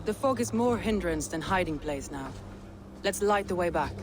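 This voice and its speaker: A woman's voice: lowish voice, lively intonation, moderate pace, close to an everyday voice. A woman voicing a proud, resolute Viking lord and war leader in a video game, commanding, guarded and earnest. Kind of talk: video game dramatic acting. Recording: music behind the voice.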